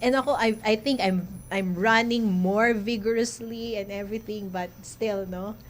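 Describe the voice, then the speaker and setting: A woman in her forties with a Filipino accent, a doctor hosting a casual radio health chat, talkative and quick to laugh. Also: medium pitch, steady tone, slight background noise. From Philippines. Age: 40s. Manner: chatty, laughs often, mixes English and local words.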